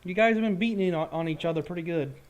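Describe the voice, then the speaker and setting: A man's voice: high voice, racing. A man narrating a tabletop fantasy game as game master, describing action in a conversational, improvised way. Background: background music.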